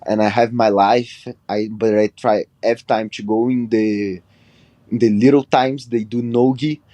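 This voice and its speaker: A man in his twenties from Brazil, speaking halting English with a Brazilian Portuguese accent and sending in a question as a caller. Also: lowish voice, fairly even intonation, dark tone, dry recording. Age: 20s. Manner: halting, apologetic non-native English. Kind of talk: recorded voice question.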